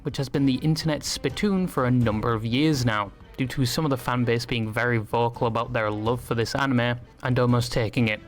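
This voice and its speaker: A man's voice: medium pitch, a little fast, hardly any pauses, faint music behind. A man reading scripted comedic narration for a video essay, with wry, crude humour.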